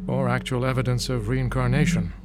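An actor playing a concerned hypnotist professor in an audio drama, formal, measured and apologetic. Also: male voice, medium pitch, level tone, over loud music, lightly acted.

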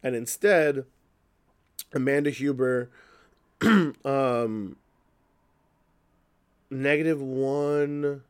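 A man with a slightly hoarse voice giving casual, unscripted commentary as a host, opinionated and thinking aloud as he talks. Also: medium pitch, extremely slow, long pauses. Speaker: wrestling news podcast host.

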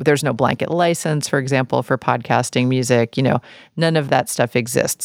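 Female podcast host with a creaky voice. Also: a bass voice, no echo, studio quality. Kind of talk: podcast interview and sponsor reads.

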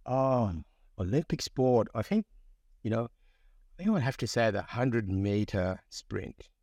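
A man in his forties from Fiji, a pharmacist guest talking in a relaxed, reflective way with many fillers. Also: medium pitch, a little slow, dry recording, studio quality. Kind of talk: conversational interview.

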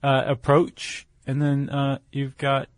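A man's voice: medium pitch, completely flat delivery, slow pace, clean tone, no echo. A man with an American accent chatting casually as a podcast co-host, explaining things with wry, joking asides.

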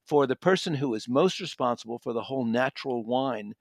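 A man's voice: mid-range voice, expressive, a little fast, creaky, no echo, studio quality. A man chatting as a guest reviewer about books in a conversational way. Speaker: book reviewer and contributor. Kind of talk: conversational book review discussion.

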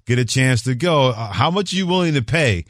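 A man hosting a sports talk radio show, chatting casually in measured, folksy phrases. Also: medium pitch, expressive, a bit bright, no room sound, studio quality.

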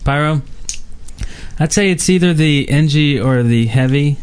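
A man's voice: fairly high pitch, unhurried, clean tone. A man co-hosting a casual gaming podcast, laughing a lot and hesitating with fillers. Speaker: podcast co-host.

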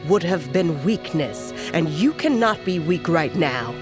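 Slow-spoken, solemn old shaman woman. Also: low-pitched, animated. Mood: solemn, steady. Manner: solemn, measured, cryptic. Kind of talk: fantasy audio drama acting. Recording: music underneath.

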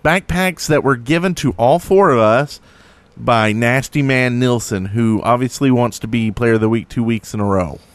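A man's voice: medium pitch, normal speed, no echo. A man co-hosting a podcast, chatting casually about games in a joking, teasing way. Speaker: podcast co-host. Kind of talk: casual gaming podcast chat.

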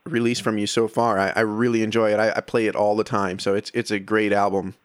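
A man hosts a casual interview in a conversational register. He feels his way through questions, halting and rewording them as he goes. The sound is dry, with little room around the voice. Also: lowish voice, level tone, dry recording.

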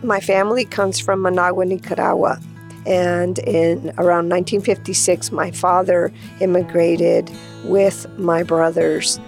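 A woman's voice: on the low side, flat delivery, measured pace, creaky, a little mellow. An older woman telling her family's story as a personal remembrance. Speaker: relative giving a remembrance. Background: plucked guitar music.

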